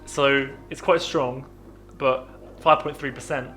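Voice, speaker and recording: A man's voice: mid-range voice, fairly even intonation, takes it slowly, fairly bright tone, faint music behind. A young man co-hosting a casual podcast, chatting and joking with lots of ums and laughs. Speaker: podcast co-host. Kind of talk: casual chat over beer.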